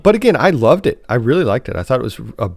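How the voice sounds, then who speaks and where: A man with a mid-pitched voice talks casually as a podcast co-host. He feels his way through his opinions in a loose, rambling style, recorded dry with no room sound. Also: sing-song, fast, a little mellow, dry recording. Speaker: podcast co-host reviewing post-apocalyptic media. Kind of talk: casual review chat.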